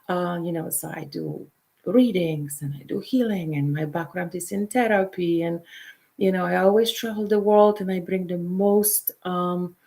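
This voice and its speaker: A middle-aged woman from Poland with a Polish accent, a counselor hosting a show and leading a guided meditation before telling her own story, speaking softly and sometimes dropping to a whisper. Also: mid-range voice. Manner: soft, unhurried, drops to a whisper at times. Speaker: intuitive counselor and show host.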